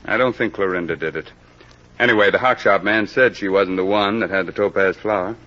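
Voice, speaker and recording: An actor playing a gruff, dogged police captain in an old-time radio mystery, curt and wry, with hesitant pauses. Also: male voice, deep voice, pushed and bright, dull audio. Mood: musing.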